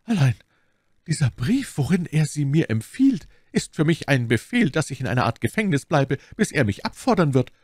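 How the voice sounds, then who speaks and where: A man voicing a gentle, curious abbess in an audiobook, speaking politely and formally with solicitous care. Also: fairly high pitch, very animated, normal speed, no room sound, studio quality, voice pushed up. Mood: puzzled. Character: abbess, gentle, curious, sympathetic pious woman. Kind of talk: audiobook character dialogue.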